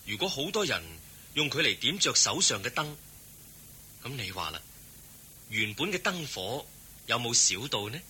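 An actor playing a serene, wise Buddha in a Cantonese radio drama, teaching in a measured, benevolent manner. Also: male voice, medium pitch, sing-song, pushed and bright, slight background noise. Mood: calm, kindly.

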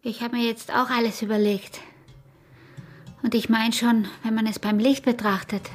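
An actress playing a scheming, seductive peasant wife in a radio drama, with a husky, breathy voice and an Austrian rural accent. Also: female voice, a little high, flat delivery, moderate pace, soft background music, lightly acted. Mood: feigned meekness, coaxing. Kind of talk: intense radio drama acting in rural dialect.

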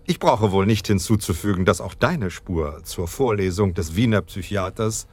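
A man acting in a German radio play as an analytical, dryly ironic detective who speaks in measured, formal, condescending phrases. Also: low-pitched, animated, darkish tone. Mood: measured, assured.

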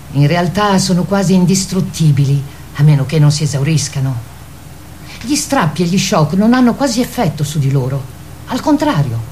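Mellow, low-voiced woman narrator. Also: slight background noise. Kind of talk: literary radio theatre narration.

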